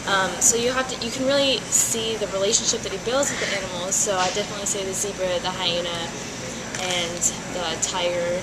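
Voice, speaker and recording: Halting woman on a noisy recording. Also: medium pitch, steady tone. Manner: halting, heavy with fillers. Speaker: guest playing an author in a mock interview. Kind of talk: school mock interview. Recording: loud noisy amateur recording.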